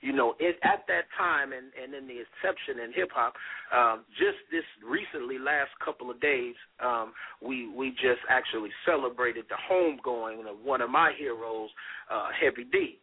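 A husky man in his forties hosts the show in a preacher's rhythm, answering with amens. His voice sits at a middle pitch, and the sound is narrow and phone-like. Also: normal speed. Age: 40s. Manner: warm preacher's cadence, affirming with amens. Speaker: pastor and radio host. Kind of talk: radio talk show interview. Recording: phone-line radio audio.

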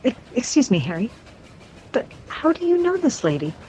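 A woman's voice: high-pitched, very animated, moderate pace, dark tone, slight background noise, rough recording. A woman playing a young, polite, inquisitive train passenger in an audio drama, with a hesitant, questioning manner. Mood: puzzled, polite. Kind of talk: audio fantasy drama acting.

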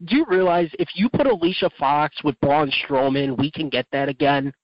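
A man with a slightly rough voice, giving casual match opinions as a wrestling podcast co-host. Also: on the high side, normal speed, no room sound, lo-fi sound. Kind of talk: casual sports review chat.

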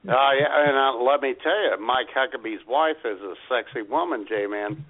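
A male talk radio co-host with a sardonic streak, tossing in joking asides. His voice sits at a plain middle pitch. It comes across thin and tinny, like it is coming down a phone line. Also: normal speed. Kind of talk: casual political talk radio chat.

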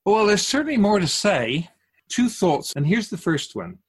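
A man playing a wise, otherworldly spirit in a radio drama, patient and gently teasing, speaking in a measured, formal way with musing questions. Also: high voice, sing-song, slightly rough, full character voice. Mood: solemn, earnest.

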